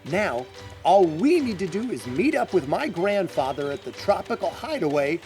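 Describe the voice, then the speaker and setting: A man playing an eager, resourceful young adventurer in an animated radio drama. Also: high voice, normal speed. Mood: determined. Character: eager, resourceful young male adventurer seeking his grandfather. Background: music.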